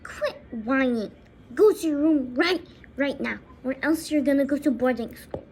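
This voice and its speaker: A woman's voice: sits high, normal speed, darkish tone. A woman voicing a stern, angry father who scolds bluntly and emphatically in a homemade skit. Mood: threatening. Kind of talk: homemade skit role-play.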